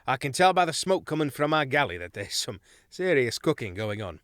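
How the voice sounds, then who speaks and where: A man's voice: mid-range voice, sing-song, a little fast, a bit bright, no room sound, clean studio sound. A man voicing a good-humoured mouse ship captain in a storybook, hearty and wry. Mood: easygoing.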